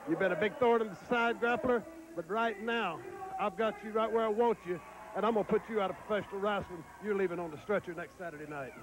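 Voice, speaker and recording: A man's voice: high-pitched, fast. A male pro wrestler cutting a boastful, menacing promo at his rival. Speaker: professional wrestler.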